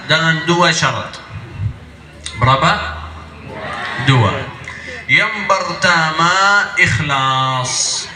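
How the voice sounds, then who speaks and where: A man's voice: sits high, sharp tone, echoey room, slight background noise, rough recording. A man preaching to a live congregation with an Arabic accent, slightly stuffy from a cold, often asking the crowd to answer back. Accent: Arabic-accented Indonesian. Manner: call-and-response with the crowd, emphatic. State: has a cold. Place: outdoor religious gathering on a street.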